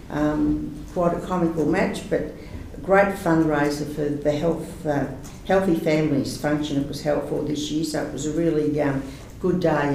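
A councillor with a gravelly, mellow voice talks his way through a report, full of fillers and restarts. He sounds distant, caught across a big, very echoey meeting room with a faint hum behind. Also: fairly high pitch. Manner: rambling, earnest, with fillers.

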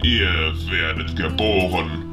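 A man playing a diabolical, dominant general with a deep voice, slow and menacing, in a fantasy audio drama. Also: fairly even intonation. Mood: mocking, gloating. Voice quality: deep, breathy at times. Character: diabolical, dominant undead-allied general, nihilistic, gloating. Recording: music behind the voice.

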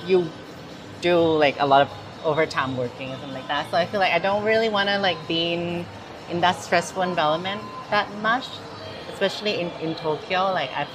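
A Thai woman in her thirties speaks in a low register, chatting easily and restarting her thoughts as she goes. A clip-on mic picks her up in a big indoor mall hall. Clear public-space noise hums behind her. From Thailand. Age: 30s. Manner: chatty, halting, many fillers and restarts. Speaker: interviewee. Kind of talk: casual street interview. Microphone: clip-on lapel mic.

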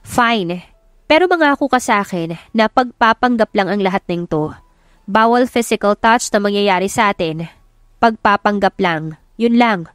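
A woman's voice: mid-range voice, lively intonation, normal speed, smooth, no echo, natural voice. A woman narrator plays a young heroine of a romance audio drama. She is proud and guarded, speaking bluntly with raw emotion underneath. The recording is close and dry. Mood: guarded, firm.

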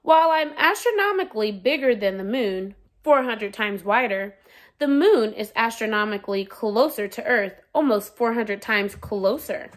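Woman reading as a boastful, high-pitched storybook Sun. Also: animated, moderate pace, close to an everyday voice. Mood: cheeky, proud. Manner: bragging, showy. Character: boastful, playful, self-important talking Sun from a picture book. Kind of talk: children's storybook read-aloud.

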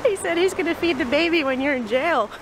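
A woman's voice: high-pitched, lively intonation, brisk, noisy background. A woman in her thirties with a Pennsylvania Dutch accent, chatting casually into a handheld camera for her travel vlog. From Pennsylvania, USA. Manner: chatty, laughs often. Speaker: vlogger. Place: car and outdoors in a lakeside town. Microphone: handheld camera at arm's length. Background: background music.

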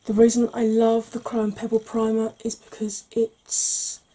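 A woman in her forties, a makeup vlogger, talking through an ASMR makeup tutorial slowly and gently in a soft, breathy voice that often drops to a whisper, recorded at home. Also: a little high, monotone. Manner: slow, gentle, patient explaining. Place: small home room.

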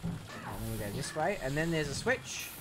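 A young man commentating on a video game in a sarcastic, sweary way, with sudden excited outbursts. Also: mid-range voice, normal speed, gravelly, over music. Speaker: gaming commentator. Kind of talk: let's play commentary.

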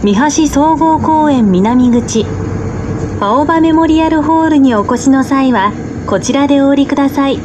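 Polite lady doing bus announcements, high pitched, careful wording, really loud vehicle noise. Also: unhurried. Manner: formal, polite, carefully enunciated. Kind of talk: scripted recorded bus announcements. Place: recorded announcement playing inside a bus.

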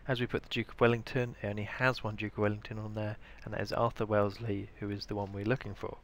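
British male tutorial presenter, lowish gravelly voice, reading a scripted how-to. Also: level tone, fast, a little hiss or hum. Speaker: information librarian, tutorial presenter. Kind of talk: scripted instructional narration.

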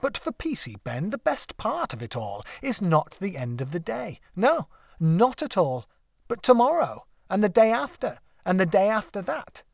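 A man narrating a children's story as an audiobook reader, with expressive, deliberate phrasing. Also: high voice, creaky, dry recording, studio quality.

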